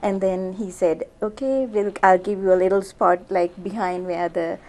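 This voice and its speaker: Middle-aged woman doctor from Sri Lanka, mellow tone, loose conversational interview delivery. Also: medium pitch, steady tone, normal speed. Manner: chatty, many fillers and restarts. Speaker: physician guest. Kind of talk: casual interview answers.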